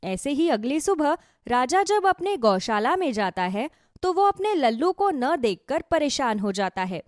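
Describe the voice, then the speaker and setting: A woman's voice: high voice, sing-song, unhurried, dry recording, studio quality. A woman telling a scripted children's moral story as narrator.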